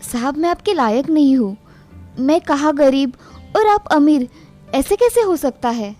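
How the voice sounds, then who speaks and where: Woman as a high-voiced humble young bride. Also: expressive, measured pace, warm tone, faint music behind. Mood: humble, hesitant. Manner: polite, deferential. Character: humble, obedient young flower seller turned daughter-in-law. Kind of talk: Hindi moral story dialogue.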